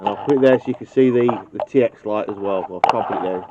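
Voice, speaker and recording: A man's voice: fairly low pitch, quick pace, heavy background noise. A man casually demonstrating how to wire a radio, thinking aloud and joking at his own expense. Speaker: CB radio technician. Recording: dull lo-fi recording.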